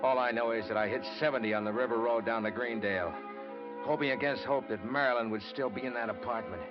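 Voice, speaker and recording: A grim, clipped murderer tells his story in the first person, as a man acts him out in a 1940s radio play. The voice sits at a middling pitch. The old recording is muffled, with loud music underneath. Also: fast talker, natural voice. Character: desperate male murderer narrating his crime. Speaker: lead actor and narrator. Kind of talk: 1940s radio drama acting.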